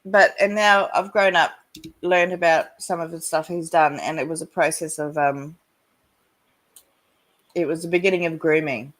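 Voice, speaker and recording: A woman with a stuffy voice from a cold, sharing casually in an online recovery meeting, halting and restarting often. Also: low voice, fairly even intonation. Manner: halting, many restarts, laughs between sentences. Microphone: video call.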